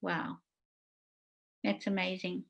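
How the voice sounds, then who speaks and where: A woman's voice: fairly low pitch, level tone, slow pace, vocal fry, highs cut off. A woman hosting an online panel discussion in a casual, effusive way, as a theatre producer and director would. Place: indoors, online video call.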